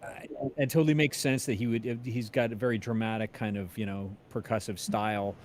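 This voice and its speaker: A man's voice: lowish voice, steady tone, fairly quick, a little mellow, a little hiss or hum. A man interviewing in a casual chat, rambling a little and searching for his words.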